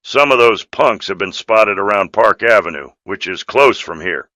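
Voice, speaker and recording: A man's voice: fairly low pitch, animated, moderate pace, sharp tone, no echo. A man voicing an earnest, dutiful police officer in video game dialogue, firm and official in manner.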